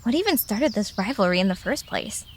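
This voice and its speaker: A woman's voice: mid-range voice, sing-song, brisk. A woman playing a small, earnest, stubborn fox in an audio drama, questioning everything with disbelief. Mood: puzzled, probing. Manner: questioning, incredulous. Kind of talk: audio drama character acting.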